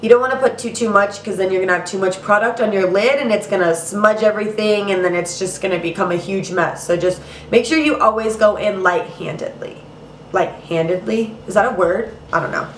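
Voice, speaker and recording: A woman's voice: mid-range voice, a little fast, a little hiss or hum. A young woman hosting a makeup tutorial in a casual, chatty way, a little nasal and congested from allergies. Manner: chatty, casual, says "girl" and "you guys". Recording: roomy, mic at some distance.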